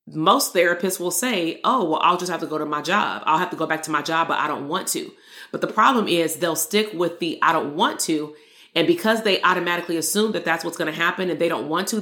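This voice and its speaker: A woman's voice: low voice, reverberant. A woman hosting a solo motivational podcast as a therapist coach, talking earnestly and repeating key lines for emphasis. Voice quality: loud voice.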